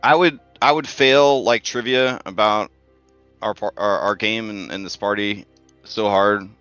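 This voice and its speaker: A man's voice: on the low side, unhurried, fairly bright tone, clean tone, dry recording, dull audio, faint music behind. A man in his forties, the game master, narrating a tabletop session in a chatty, joking way with many fillers. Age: 40s.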